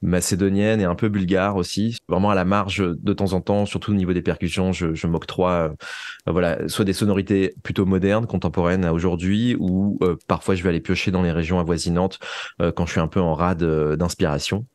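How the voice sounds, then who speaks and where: Low-voiced man, hesitant and reflective. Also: fairly even intonation, brisk, darkish tone, no room sound. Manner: hesitant, reflective, searching for words. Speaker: interview guest, audio fiction writer and composer. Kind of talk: conversational interview about creative work.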